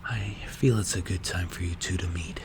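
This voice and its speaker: A man playing a strict, calculating mafia boss in an ASMR roleplay, speaking in a hushed, breathy, measured and menacing way. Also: low-pitched, noisy background. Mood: musing, approving. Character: strict, cold, calculating male mafia boss mentoring a protégé.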